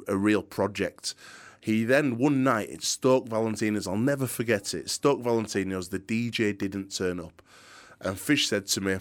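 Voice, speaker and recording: Guest: middle-aged Yorkshire bloke, low-pitched, energetic and earnest, studio mic. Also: male voice. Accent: Yorkshire, softened. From Yorkshire, England. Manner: energetic, earnest, some restarts. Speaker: DJ and presenter, interview guest. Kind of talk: interview answers, storytelling. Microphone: studio microphone.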